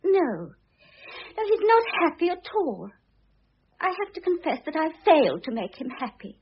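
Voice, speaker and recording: Through the thin sound of an old radio play comes a young second wife, all affected innocence. Her high voice swings wildly up and down. She gushes and wheedles theatrically, thoroughly absorbed in herself. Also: very animated, normal speed, dull audio, full character voice. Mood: earnest confiding. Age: young adult. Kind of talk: classic radio drama acting. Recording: thin band-limited old radio recording.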